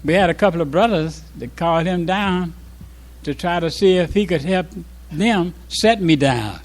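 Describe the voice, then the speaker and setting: An older man, a former imam, speaks informally to a congregation, reminiscing in a halting, reflective way with pauses mid-sentence. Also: on the high side. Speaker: former resident imam giving an address.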